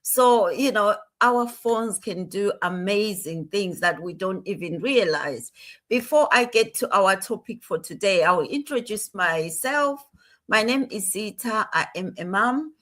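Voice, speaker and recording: A woman from Zimbabwe speaks in an earnest, encouraging way, explaining at length. Her pitch sits in a middle range for a woman. She sounds like a guest on a motivational online talk. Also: lively intonation, unhurried, a bit bright.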